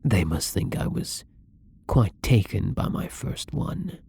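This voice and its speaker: A man playing a gentle, caring vampire in an intimate ASMR roleplay, speaking softly and tenderly with a husky, breathy voice. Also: fairly low pitch, very animated, slow, heavily acted. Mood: earnest, apologetic. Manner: soft-spoken, tender, breathy.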